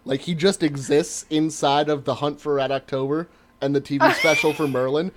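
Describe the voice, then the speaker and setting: A man in his thirties chatting casually as a podcast co-host, telling stories in an animated way with emphatic swearing. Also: on the high side, normal speed, background noise. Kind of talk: casual banter, profane chat.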